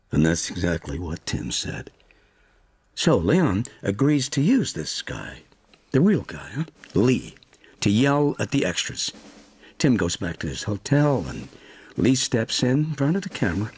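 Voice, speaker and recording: Radio drama: exacting veteran American film director, low-pitched, deliberate, probing, with widely varied intonation. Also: male voice, exaggerated voice. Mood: intense, commanding. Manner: deliberate, probing, punctuates with checking questions. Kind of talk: naturalistic radio drama acting.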